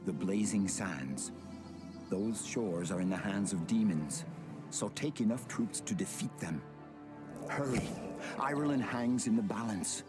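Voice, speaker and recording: An actor playing a grave male elf king weighed down by guilt, speaking in a solemn, measured, commanding way. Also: fairly low pitch, expressive, music in the background. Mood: urgent. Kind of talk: video game cutscene acting.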